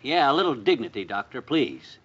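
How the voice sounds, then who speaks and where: An actor playing an excitable, boastful American husband and war veteran in a folksy, blustering 1950s radio comedy style. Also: male voice, mid-range voice, a little fast, husky. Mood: eager, nostalgic. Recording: muffled vintage radio recording.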